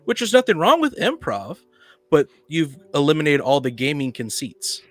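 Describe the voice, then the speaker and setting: A man's voice: on the high side, big pitch swings, normal speed, a bit bright, no room sound, soft background music. A man on a podcast panel in casual debate, arguing insistently and cutting in to press his point. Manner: argumentative, insistent, interrupting.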